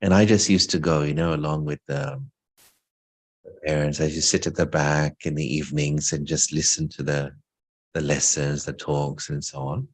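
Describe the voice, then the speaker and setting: A man's voice: a bass voice, fairly even intonation, moderate pace. A middle-aged man giving an informal spiritual talk about his own life, reflective and lightly humorous. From England. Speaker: spiritual speaker. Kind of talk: informal talk sharing personal story.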